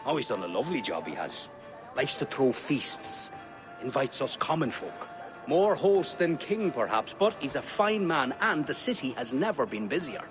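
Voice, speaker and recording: An actor playing a cheerful, chatty working tanner with an Irish accent in a video game. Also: male voice, mid-range voice, moderate pace, close to an everyday voice. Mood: chatty, folksy. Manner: folksy, lively, talkative. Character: cheerful chatty common man, a hard-working city tanner. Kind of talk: video game character dialogue. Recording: loud music behind.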